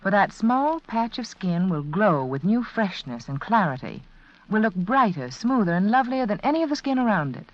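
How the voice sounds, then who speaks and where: A woman reading a 1940s radio beauty advertisement in a measured, persuasive way. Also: mid-range voice, sing-song. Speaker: beauty product spokeswoman. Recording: dull vintage 1940s radio broadcast.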